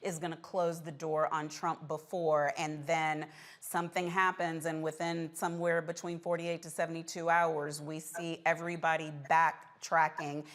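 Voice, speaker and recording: A woman's voice: sits low, little pitch movement, moderate pace. A woman moderating a political panel show as host, conversational, informal and wry.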